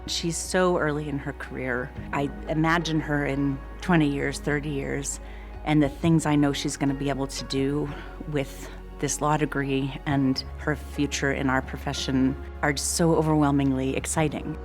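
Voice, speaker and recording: A woman giving an enthusiastic, admiring tribute to a colleague in an interview, with soft music behind her. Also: rumbling low, little pitch movement, moderate pace.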